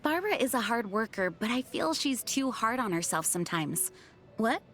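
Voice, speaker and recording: A woman's voice: fairly high pitch, very animated, normal speed, fairly bright tone, soft background music. A woman playing a dutiful, earnest young knight in a video game, speaking formally and with composure. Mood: warm, reflective. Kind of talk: video game character voice lines.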